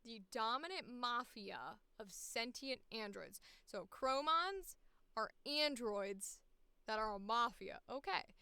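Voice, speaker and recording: Lively high-pitched woman with vocal fry. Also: slow, dry recording. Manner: musing aloud to herself. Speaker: voice actor streaming auditions. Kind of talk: casual livestream chat, reading casting calls aloud.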